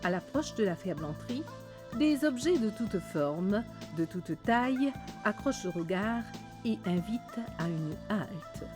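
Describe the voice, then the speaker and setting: Calm woman narrator reading a doc script, mid-pitched, music under it. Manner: measured, polished delivery. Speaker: documentary narrator. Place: voice-over studio.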